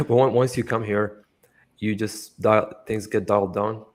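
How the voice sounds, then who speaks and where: A man's voice: lowish voice, flat delivery, unhurried. A young man from Iraq, talking casually as an interview guest, rambling with lots of fillers and swearing freely. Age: young adult.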